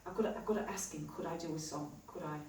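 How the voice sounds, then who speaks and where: A woman with a low contralto voice telling a story to a live audience, acting out what people said. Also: rapid-fire, a little hiss or hum. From New Zealand. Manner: animated storyteller, acts out quotes. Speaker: healer and live event host. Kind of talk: chatty stage talk to an audience. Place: live event venue. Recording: echoey hall, heard from a distance.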